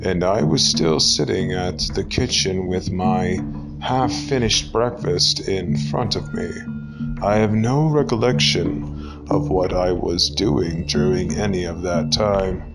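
A man giving a dramatic scripted reading of a horror story, in a deliberate storytelling manner with pauses. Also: deep voice, gravelly.

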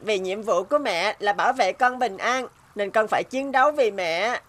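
A woman's voice: medium pitch, normal speed, a bit bright, natural voice. A woman voicing a sweet, brave, sick little boy in a cartoon drama, childlike, earnest and polite. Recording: music bed behind the voice. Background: music.

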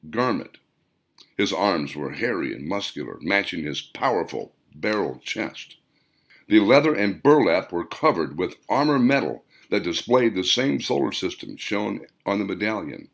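A man reading a story aloud as dramatic, theatrical audiobook narration, with deliberate delivery. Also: low voice, gravelly, a bit bright.

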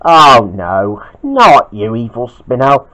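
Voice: medium pitch, very animated, slow pace, pushed and bright, heavily acted. A performer voicing a cross, sad, bossy boy in a children's story, with emphatic exclaiming delivery. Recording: dull lo-fi recording.

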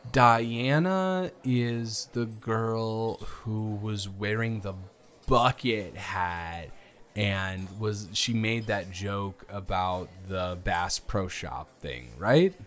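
A man's voice: fairly low pitch, extremely slow. Young adult male, playful and chatty, stumbles over words, intimate close-mic roleplay delivery. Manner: playful, teasing, rambling with restarts. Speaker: audio roleplay performer. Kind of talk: improvised first-person romance roleplay.

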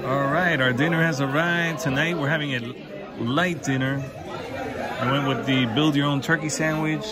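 A man's voice: a little high, steady tone, moderate pace. A man in his forties, upbeat and enthusiastic, giving a casual vlog tour with a camera at arm's length. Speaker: travel vlogger. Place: outdoor hotel pool area and indoor hallways. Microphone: handheld camera at arm's length. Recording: loud music and crowd behind. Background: music and crowd noise.

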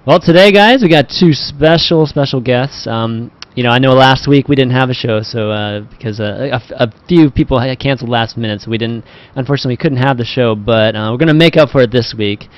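A man's voice: medium pitch, brisk, hardly any pauses. A man hosting a casual panel talk over a video call, rambling and hedging as he goes. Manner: rambling, hedging with "you know". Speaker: talk show host. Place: indoor room on a video call. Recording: dull, muffled online call audio.

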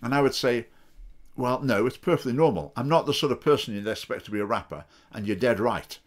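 A man's voice: medium pitch, fairly quick. Opinionated man talking to camera. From England. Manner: opinionated, sarcastic, mocking quoted voices. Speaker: political party spokesman. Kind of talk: commentary to camera. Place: home study with bookshelves. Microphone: webcam video call framing.

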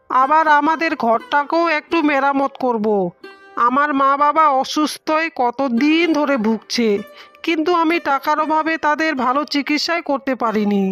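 A woman's voice: sits high, moderate pace, fairly bright tone, soft background music. A woman voicing a poor, honest, anxious male day labourer in a cartoon fairy tale, humble and plaintive. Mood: grateful.

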